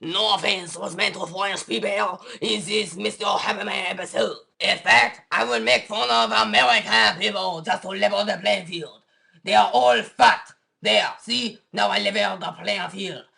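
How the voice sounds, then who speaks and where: An actor plays an irritable cartoon man with a bright, forceful voice and a gravelly edge. He is cynical and dramatic, swinging through sarcastic rants in a comedic animated show. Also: mid-range voice, normal speed. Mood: deadpan. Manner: sarcastic, ranting. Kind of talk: comedic animated web-series dialogue.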